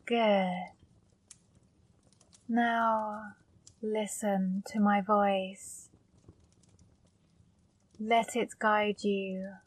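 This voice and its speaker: Casting: woman voicing a seductive female demon for ASMR, slow and level delivery, dry close sound. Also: medium pitch, no echo. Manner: slow, soft, commanding. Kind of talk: ASMR roleplay.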